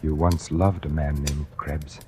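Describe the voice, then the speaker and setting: An actor playing a weary, tormented painter who narrates and speaks in a 1940s radio drama. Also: male voice, rumbling low, flat delivery, a little slow, warm tone. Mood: weary, bitter. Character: weary, tormented male painter confessing to murder. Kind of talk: 1940s radio acting, first-person narration and dialogue. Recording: old radio drama recording.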